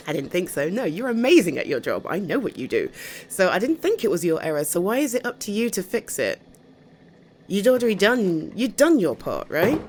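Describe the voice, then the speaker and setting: A woman's voice: medium pitch, sing-song, moderate pace, a little mellow, slightly rough. A woman with a British accent playing a caring, tired girlfriend home from the office, talking in a soothing, chatty, hesitant way in an intimate ASMR roleplay. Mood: sympathetic, supportive.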